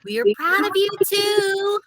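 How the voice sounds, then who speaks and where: A woman's voice: very high-pitched, completely flat delivery, unhurried, creaky, pushed and bright, a little hiss or hum, heavily acted. A woman voicing a peppy, positive teenage girl who is head cheerleader, in a storybook reading. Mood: chanting, upbeat. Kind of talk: storybook character dialogue.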